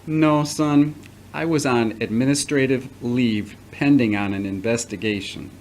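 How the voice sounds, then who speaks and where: An actor playing a patient, fatherly middle-aged priest in an audio drama, speaking in a measured, formal way. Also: mid-range voice, darkish tone, natural voice. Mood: firm, earnest. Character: returning priest, patient, paternal, earnest, formerly accused. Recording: noticeable background noise.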